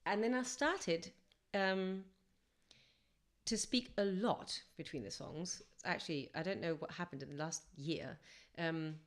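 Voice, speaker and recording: Low-voiced woman, chatty guest. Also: a little slow. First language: English first language. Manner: chatty, reflective, rambling with fillers and self-corrections. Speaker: singer-songwriter guest. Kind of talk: casual podcast interview storytelling.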